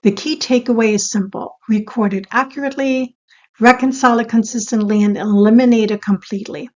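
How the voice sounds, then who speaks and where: A woman giving a scripted explainer as an instructor, in a measured, step-by-step teaching manner. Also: a little high, steady tone, darkish tone, muffled recording. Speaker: accounting instructor.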